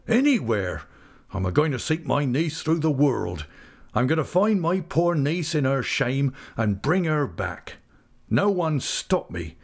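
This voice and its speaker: A man's voice: medium pitch, big pitch swings, a little slow, voice pushed up. A man voicing a distraught, stubborn older fisherman who talks in rustic dialect. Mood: vehement.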